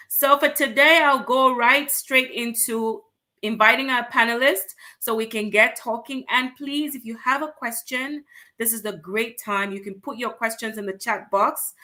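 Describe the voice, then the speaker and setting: A woman's voice: medium pitch, pushed and bright. A woman from Cameroon hosting an online talk show, welcoming viewers and guests with enthusiastic, upbeat energy. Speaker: host.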